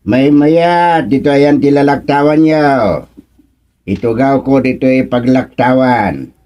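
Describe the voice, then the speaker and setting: A man playing a stern middle-aged village captain in a radio comedy drama, speaking with an Ilocano accent in a measured, chiding way. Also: medium pitch, mellow, close to an everyday voice.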